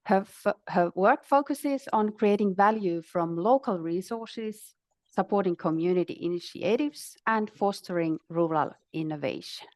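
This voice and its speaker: A woman's voice: mid-range voice, measured pace, darkish tone, dry recording. Woman moderating, dry close sound. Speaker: webinar moderator. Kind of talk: semi-scripted speaker introductions.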